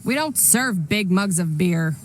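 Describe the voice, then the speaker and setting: A woman's voice: on the low side, expressive, unhurried, slight background noise. A woman playing a blunt, unfriendly waitress in a video game, with a sarcastic way of talking. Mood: curt, scornful. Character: curt, unfriendly waitress at family restaurant. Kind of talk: naturalistic video game voice acting.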